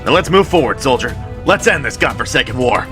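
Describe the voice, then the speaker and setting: An actor playing a brave, commanding soldier hero in an audio drama, defiant and resolute. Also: male voice, mid-range voice, lively intonation, fairly quick, music in the background, natural voice.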